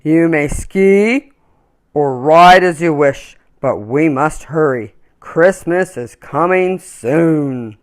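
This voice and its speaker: A woman voicing an enormous male bear in a storybook, soothing, deliberate and protective. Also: low-pitched, animated. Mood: steady, urging.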